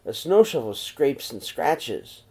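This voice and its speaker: A man's voice: mid-range voice, very animated, slow, warm tone, slightly rough, full character voice. A man voicing a curious young daughter in a children's storybook, wondering and questioning.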